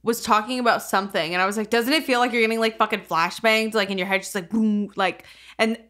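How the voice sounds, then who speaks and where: A woman's voice: mid-range voice, fairly even intonation, quick pace, sharp tone. A young woman co-hosting a casual podcast, rambling and sweary, leaning heavily on filler words like "like". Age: young adult. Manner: rambling, sweary, heavy use of "like". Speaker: podcast co-host.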